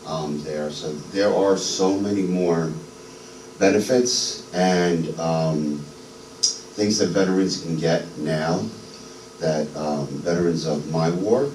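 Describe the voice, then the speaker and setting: A middle-aged man from New York giving an unscripted personal testimony as a guest speaker, halting with many ums and restarts. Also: deep voice, darkish tone, far from the mic, a little hiss or hum. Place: church sanctuary. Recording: echoey church hall, voice from afar.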